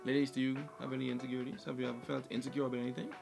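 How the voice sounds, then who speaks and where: A man with a light, high voice, hosting a podcast in casual, animated chat, who laughs often and sometimes stammers. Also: rapid-fire, vocal fry, soft background music. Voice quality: light, high voice, not deep. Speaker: podcast host.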